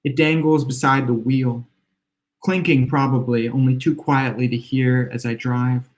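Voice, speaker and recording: A man with a slightly hoarse, worn voice reads his own literary fiction in a measured, reflective way. His pitch sits in an ordinary middle range, and he lets the first-person lines unfold at an unhurried pace. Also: fairly even intonation. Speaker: author. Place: home room with exposed brick.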